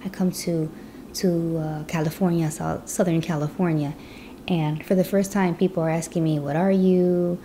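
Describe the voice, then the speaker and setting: A woman's voice: lowish voice, fairly even intonation, normal speed, mellow, slight background noise. A woman from the US Midwest telling her personal story in a chatty vlog, with lots of "you know". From Chicago, US Midwest. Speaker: vlogger.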